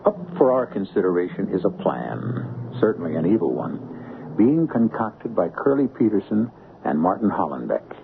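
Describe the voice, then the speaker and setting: A man's voice: sits low, big pitch swings, normal speed, gravelly, narrow, tinny audio, a little hiss or hum. A man hosting a radio mystery with theatrical scripted narration, formal, wry and musing. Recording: band-limited old radio broadcast.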